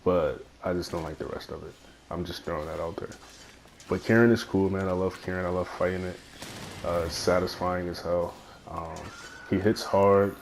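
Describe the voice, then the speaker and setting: A young man with a cold and a raspy voice, rambling through casual gameplay commentary into a phone headphone mic, full of fillers and swearing. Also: deep voice, fairly even intonation, normal speed, a little hiss or hum. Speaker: gaming YouTuber.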